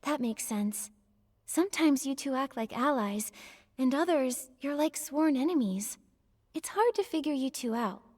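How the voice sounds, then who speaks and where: A gentle young game heroine with a high, soft voice. She sounds earnest and inquisitive. A long reverb hangs around her words. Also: lively intonation, a little slow. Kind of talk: scripted video game character dialogue.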